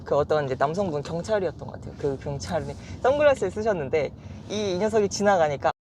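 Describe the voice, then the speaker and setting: A man in his forties, a car owner chatting easily in an interview, hesitant and quick to chuckle. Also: a little high, lively intonation, a little mellow. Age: 40s. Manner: easygoing, chuckles often, hesitant fillers. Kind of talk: casual interview chat. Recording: outdoor street noise behind.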